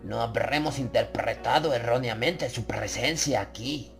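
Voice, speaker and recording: A man voicing a sinister, leering cult brother in a horror audiobook, slow and insinuating. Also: medium pitch, creaky, a bit bright, faint music behind. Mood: veiled threat.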